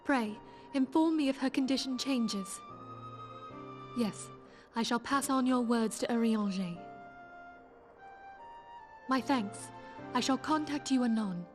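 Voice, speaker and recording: A somewhat high female voice a solemn, poised leader in a fantasy game. Her phrasing is measured and dignified, with music behind it. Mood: concerned, relieved. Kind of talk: formal fantasy game voice acting.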